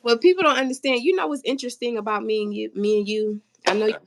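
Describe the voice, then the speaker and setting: A woman hosting a live stream with a stuffy, congested voice. Her tone has a gravelly, fry-edged texture. She chats loosely and talks straight to her viewers, reading their comments as they come in. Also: fairly high pitch, fairly even intonation, fast, noisy background. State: stuffy nose. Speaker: livestream host. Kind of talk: casual live stream chat.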